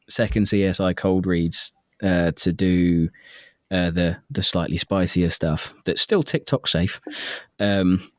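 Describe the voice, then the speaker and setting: Deep-voiced man chatting, dry close sound. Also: no echo. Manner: self-deprecating, jokey, sweary. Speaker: audiobook narrator, guest. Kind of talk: casual interview chat.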